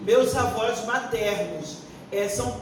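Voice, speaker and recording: A Brazilian woman of sixty-one answering on a forum panel like a pastor, deliberate and emphatic, searching for words as she goes. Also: a little high. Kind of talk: unscripted panel answer with preaching tone. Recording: echoey room, distant mic, faint noise.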